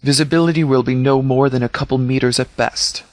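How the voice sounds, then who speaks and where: A man playing a stern, composed sheriff in a video game, speaking in a measured, formal, authoritative way. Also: medium pitch, fairly even intonation, darkish tone, no room sound, clean studio sound, natural voice. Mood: businesslike briefing. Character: stern, composed male sheriff leading a post-apocalyptic militia. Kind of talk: scripted video game dialogue.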